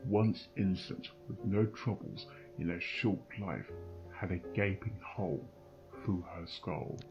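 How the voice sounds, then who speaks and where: A man's voice: low voice, fairly even intonation, measured pace, dull audio. A man playing a haunted, weary pony doctor and investigator in an amateur audio drama, grave and dramatic. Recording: prominent guitar music behind voice.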